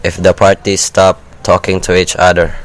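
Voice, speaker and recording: A man playing an unruffled, bureaucratic chief telephone operator in an amateur radio play, measured and matter-of-fact. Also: sits low, monotone, close to an everyday voice. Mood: calm, official.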